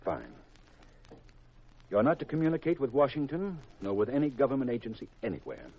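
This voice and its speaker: An actor playing a grave, secretive government intelligence chief in a 1950s radio drama, deliberate and confidential on a vintage recording. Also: male voice, medium pitch, sing-song, husky, dull audio. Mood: grave, instructing.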